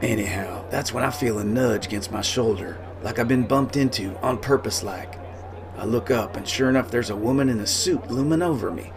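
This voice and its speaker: Folksy male audiobook narrator, music behind. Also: fairly low pitch. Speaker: author reading his own novel. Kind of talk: first-person audiobook narration in folksy cowboy dialect.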